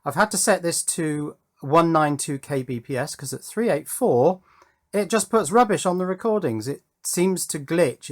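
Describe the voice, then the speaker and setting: A man explaining circuits at his electronics workbench in a methodical, think-aloud way, recorded on a camera microphone. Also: on the high side. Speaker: electronics hobbyist presenter. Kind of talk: workbench tutorial.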